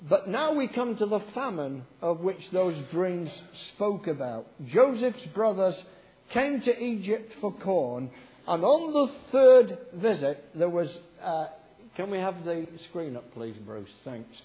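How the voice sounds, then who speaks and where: A middle-aged man, croaky from a cold, preaching a chatty, joking sermon to a congregation. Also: high voice, very animated, a little slow. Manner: chatty, joking preacher leading the congregation in reading a verse aloud. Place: church. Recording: dull sound with long hall reverb.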